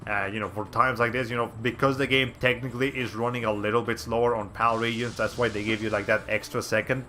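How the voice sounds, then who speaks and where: A male streamer with a raspy voice giving casual, rambling commentary while playing a game, often restarting his sentences. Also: mid-range voice, level tone, fast, sharp tone, slight background noise. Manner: rambling, chatty, frequent restarts.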